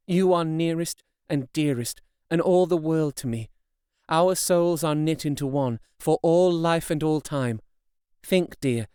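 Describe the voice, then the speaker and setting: Guy doing a young wife voice, raised and smooth, begging her friends solemnly, audiobook. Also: a little high, a little mellow, no room sound, clean studio sound, pitched above the performer's own voice, natural voice. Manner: earnest, tender, impassioned pleading. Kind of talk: audiobook character reading.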